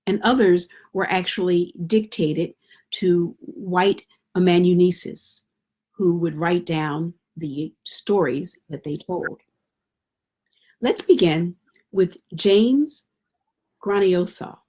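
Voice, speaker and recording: A woman literature professor giving a measured scholarly talk over a video call. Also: lowish voice, a little mellow, slightly rough. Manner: measured, lecturing, occasional restarts. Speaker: literature professor, guest speaker. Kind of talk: scholarly talk at an online event.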